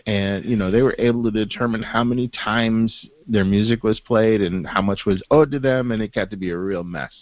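An older man with a lowish voice hosts a podcast, talking loosely and filling gaps with "uh" and "you know". The recording is close and dry with a dull, slightly muffled top. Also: fairly quick, hardly any pauses, no echo. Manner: relaxed, full of fillers like "you know" and "uh". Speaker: podcast host. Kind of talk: casual chat.

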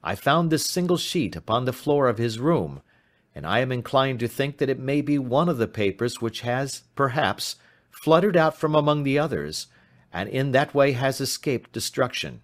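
A man voicing an anxious, despairing young gentleman in an audiobook, formal and earnest in manner. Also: mid-range voice, expressive, normal speed, pitched above the performer's own voice, close to an everyday voice. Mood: thoughtful. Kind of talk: audiobook character voice.

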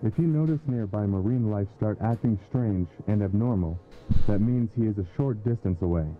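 Low flat guy reading safety warnings, tinny lo-fi audio, some music under it. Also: moderate pace. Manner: flat instructional delivery. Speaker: warning broadcast narrator. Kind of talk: scripted narration. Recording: tinny phone-like broadcast audio.

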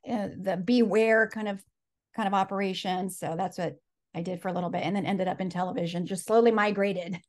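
A woman's voice: mid-range voice, fairly even intonation, brisk, darkish tone. A woman in her forties, a life coach whose first language is Spanish, telling her story earnestly over a home video call. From Los Angeles. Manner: earnest, reflective storytelling with frequent laughs. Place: home office. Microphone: video call webcam.

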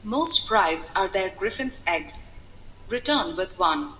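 Solemn female master mage for fantasy game: stately, unhurried, echoing, slightly dull audio. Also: mid-range voice, lively intonation, bright, forceful tone, slight background noise. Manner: stately, measured, formal. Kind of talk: fantasy video game acting. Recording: echoey hall reverb, dull game audio.

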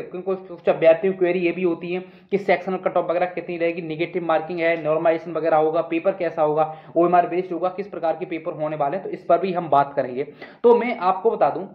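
A man giving an informal, instructive explainer to exam candidates, often repeating himself and checking in. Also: a little high. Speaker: exam news video presenter. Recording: roomy echo, dull muffled sound.

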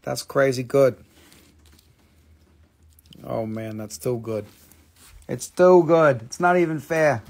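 Excitable gravelly-voiced man selling gems. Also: mid-range voice, slow, long pauses. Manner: excitable, exclaiming, casual swearing. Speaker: live-stream gem seller. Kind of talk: live sales stream chat. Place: indoor shop table, close-up on stones.

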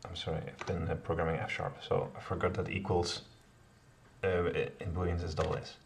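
A man's voice: low voice, level tone, a little fast. A middle-aged man live-coding at home, thinking aloud in a hesitant way with many fillers and self-corrections. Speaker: programmer live-coding a kata. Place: home office, indoors. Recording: distant voice with clear background noise. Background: keyboard typing and clicks.

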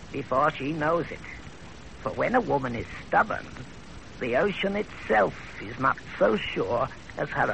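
High, creaky, rambling old matchmaker. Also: male voice, sharp tone, exaggerated voice. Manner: rambling, hemming, repeats phrases. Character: wily old professional matchmaker, talkative and self-satisfied. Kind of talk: 1930s radio drama acting. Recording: noisy, crackly 1930s radio broadcast.

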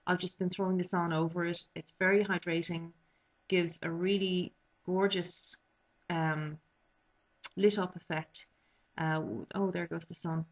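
A woman's voice: on the low side, fairly even intonation, measured pace. A woman, chatting casually about makeup she has been using, a little sniffly from a cold, with many ums and restarts. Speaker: beauty vlogger. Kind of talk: casual product review. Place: small bedroom indoors. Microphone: webcam built-in mic. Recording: dull lo-fi webcam audio.

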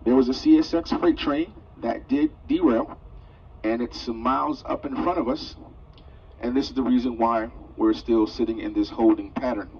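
A man's voice: medium pitch, slight background noise. A man making a formal announcement as train conductor over the public address system. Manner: formal, measured announcer phrasing. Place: train car. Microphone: train PA system, picked up by a phone camera. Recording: distant muffled PA speaker filmed on phone.

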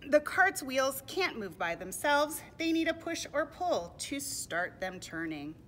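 A woman's voice: high voice, sing-song, measured pace, fairly bright tone, slight background noise, pitched above the performer's own voice, exaggerated voice. A woman voicing a patient, hardworking mother donkey in a children's storybook, explaining things with emphasis.

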